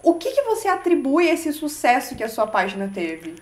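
A woman's voice: sits high, very animated, a little fast, reverberant. A woman from Curitiba with a local accent, interviewing a guest, listening attentively with short affirmations and asking long questions. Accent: Curitiba Brazilian Portuguese. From Curitiba, Brazil. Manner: attentive, frequent brief affirmations.

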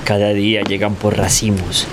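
A man's voice: lowish voice, little pitch movement, moderate pace, natural voice. Guy acting stern irritable schoolteacher husband, radio play, reproachful, rain and noise behind him. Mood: wary, annoyed. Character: Tomás, irritable, wary husband and provincial schoolteacher. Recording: noisy, rain and thunder behind.

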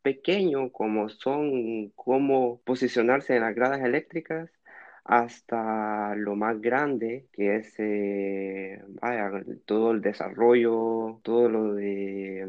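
A man's voice: fairly low pitch, fairly even intonation, slow, narrow, tinny audio. A twenty-year-old man with a Honduran Spanish accent, chatting casually as a podcast guest. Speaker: podcast guest, university student in France. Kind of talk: casual interview chat.

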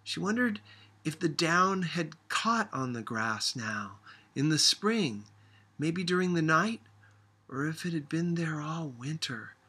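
Husky man reading literary fiction, slow pace. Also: on the high side, animated, pushed and bright. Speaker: audiobook reader. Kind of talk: scripted literary narration.

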